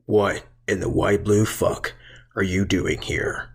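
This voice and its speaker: A gruff, world-weary male hero acted by a man for an audio drama. His voice is deep and raspy, flat in tone. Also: slow, exaggerated voice. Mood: stunned anger. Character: gruff, foul-mouthed, scarred man, a former pony hero.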